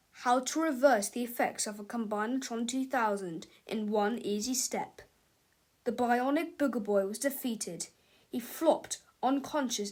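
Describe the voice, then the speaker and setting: Woman reading a kids' book out loud, highish voice, expressive storytelling. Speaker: storybook narrator. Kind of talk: expressive children's read-aloud.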